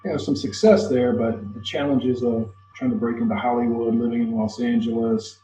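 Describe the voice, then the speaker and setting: A man's voice: mid-range voice, steady tone, moderate pace. A man in his fifties interviewing conversationally, sharing his own experience as he leads into questions, on a muffled recording. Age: 50s. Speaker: interviewer, host. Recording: muffled, roomy sound with background noise.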